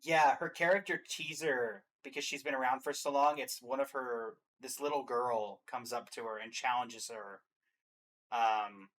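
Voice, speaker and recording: A man co-hosting a reaction video, talking casually and retelling scenes in an animated, quoting way as he ranks game characters. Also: medium pitch, measured pace, low-quality recording. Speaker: co-host of a reaction channel.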